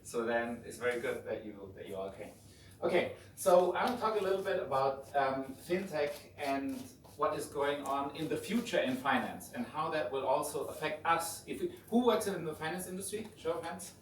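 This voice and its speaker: A man's voice: on the high side, normal speed. German-accented man lecturing in an echoey room. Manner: relaxed, informal, frequent restarts. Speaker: guest lecturer. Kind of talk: conversational lecture. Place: hotel conference room. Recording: echoey room, distant mic, faint noise.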